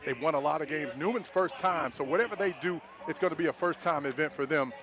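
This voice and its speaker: A man's voice: fairly high pitch, fast talker. An American man giving live football analysis as a color commentator, conversational and emphatic.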